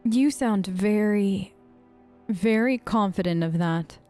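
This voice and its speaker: A woman's voice: medium pitch, takes it slowly, mellow, soft background music. A woman hosting a let's play, improvising in-character replies and musing commentary in a conversational way.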